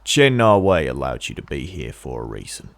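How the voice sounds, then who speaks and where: A hardened mercenary in an audio drama, with a very low, gravelly voice. He is cynical and mocking, and his threats swing animatedly as he delivers them deliberately. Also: big pitch swings, a bit bright, no echo, exaggerated voice. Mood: menacing, cold. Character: menacing cynical male mercenary, a hardened survivor.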